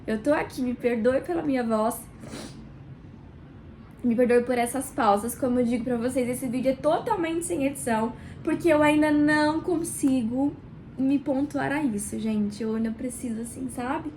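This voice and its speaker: A woman with a Brazilian Portuguese accent hosts a book club video in her small kitchen, chatting warmly and directly to the viewer, nasal and stuffed up from a cold. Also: sits high, a little hiss or hum. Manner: warm, chatty, addresses viewer directly with pauses. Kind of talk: casual devotional reading vlog.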